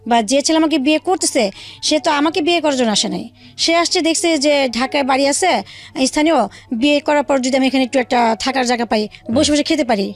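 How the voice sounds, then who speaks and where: A woman with a Bangladeshi accent telling her own life story in an interview, haltingly, with pauses and repeated phrases. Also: sits high. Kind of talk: personal storytelling in a radio interview. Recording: faint music bed under the voice. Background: soft background music.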